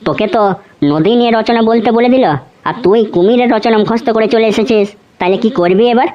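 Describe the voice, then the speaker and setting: A woman's voice: on the high side, fast talker, mellow, lightly acted. Woman voicing a smug cartoon schoolboy, muffled. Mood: needling. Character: smug bald schoolboy, mocking classmate. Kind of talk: comedy cartoon dubbing.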